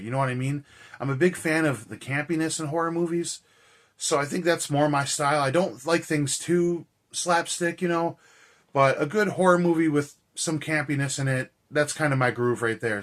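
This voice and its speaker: Man, raspy and hoarse, tired, mid-range pitch, casual rambling vlog host, slangy conversational delivery. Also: fairly bright tone. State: hoarse and tired after a cold and shouting. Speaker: horror movie reviewer and vlog host. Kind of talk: casual chat to camera.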